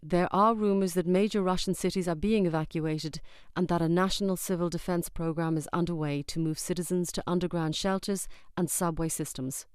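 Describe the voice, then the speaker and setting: A woman's voice: lowish voice, fairly even intonation, a little fast, darkish tone, smooth, no room sound, clean studio sound, close to an everyday voice. A woman playing a serious news anchor, reading breaking news in a formal, composed way for a drama. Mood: composed, urgent.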